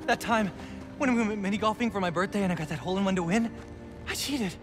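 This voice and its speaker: A man's voice: high-pitched, fast, lightly acted. A young man playing a nervous, rambling best friend in a video game scene. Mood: frantic confessing. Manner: breathless rambling confession. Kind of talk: video game cutscene acting. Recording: music behind the dialogue.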